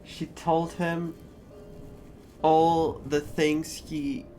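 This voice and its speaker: A woman streamer giving casual, emotionally invested live commentary on a story game, halting and repeating herself as she goes. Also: sits low, level tone, dark tone, soft background music, rough recording.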